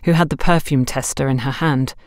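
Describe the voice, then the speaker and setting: A woman's voice: a bass voice, steady tone, fairly quick, no echo, clean studio sound. A woman reading a scripted mystery story aloud as an audiobook narrator.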